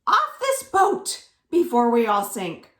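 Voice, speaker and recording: Slow-paced woman reading to children, roomy echo. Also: on the high side, wildly swooping pitch, a bit bright. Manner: slow, deliberate delivery with dramatic emphasis for young children. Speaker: library storytime host. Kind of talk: expressive children's read-aloud and singalong. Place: indoors at home. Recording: roomy, echoing home recording.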